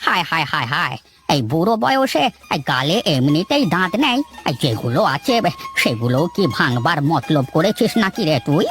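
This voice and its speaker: An actor voicing an elderly, toothless village father in a cartoon, affectionate and excitable, exclaiming and repeating words in colloquial talk. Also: medium pitch, normal speed, few pauses. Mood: grumbling, teasing. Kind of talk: Bengali cartoon story dialogue. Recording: loud music under the voice. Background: music.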